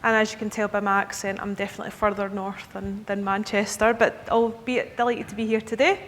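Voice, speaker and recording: A woman's voice: little pitch movement, a little fast, a bit bright. Scottish woman in her 30s doing a conference talk, chatty, keeps saying okay, big echoey hall. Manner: chatty, checks in with the audience with "okay?". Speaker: key account manager presenting to advisers. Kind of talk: conference presentation. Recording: echoey hall with faint rumble.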